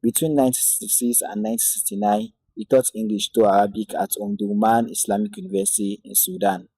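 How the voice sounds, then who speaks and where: A man narrating an introductory Arabic lesson in a measured, devout way, opening and closing with Islamic invocations. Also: on the low side, big pitch swings, vocal fry, mellow, breathy edge, no echo, rough recording. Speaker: Arabic teacher.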